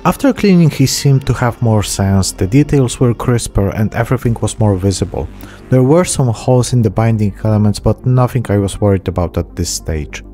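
A man's voice: on the low side, animated, normal speed, dark tone, faint music behind. A man in his thirties giving a casual, self-deprecating narrated hobby tutorial, recorded close on a desk microphone in a small room. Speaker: host of miniature painting channel. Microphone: close desk microphone with pop filter.

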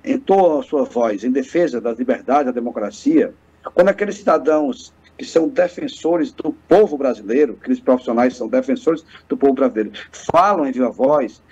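Earnest lawyer on a muffled video call. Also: male voice, medium pitch, darkish tone, breathy edge. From Piauí, Brazil. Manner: earnest, speechlike, frequent hesitant restarts. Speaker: lawyer and guest. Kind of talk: formal interview by video call. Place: office with bookshelves, indoors. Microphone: webcam on video call.

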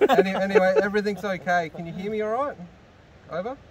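Aussie guy on a radio call at camp, voice a bit high, noisy outdoor recording. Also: fast, recorded from a distance. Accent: Australian. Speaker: camper making a radio phone call. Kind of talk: casual radio-phone conversation. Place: outdoors at a bush campsite. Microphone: camera mic a short distance away.

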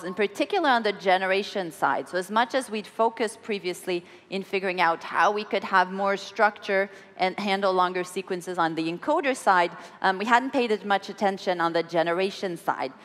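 A woman in her forties giving a technical keynote on stage, explaining her work in a measured way with some ums and restarts. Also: mid-range voice, fairly bright tone. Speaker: keynote speaker. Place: large conference hall on stage. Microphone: podium microphone and headset mic. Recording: long hall reverb.

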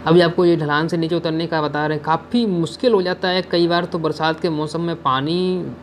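A man's voice: on the high side, fairly even intonation, moderate pace, slight background noise. A young man narrating a travel vlog outdoors on a hill road, chatting to viewers and giving safety advice. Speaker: travel vlogger.

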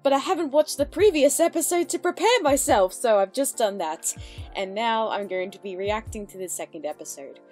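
A woman's voice: a little high, expressive, normal speed, a little mellow. A woman giving a chatty, enthusiastic, casual reaction commentary as host of her video. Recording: music behind the voice.

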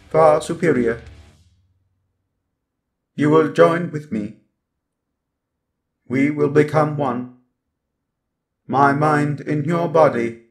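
A man voicing a coldly superior, menacing robot overlord in a roleplay monologue, with deliberate delivery and stuttering glitches on words. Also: fairly high pitch. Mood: menacing, controlling.

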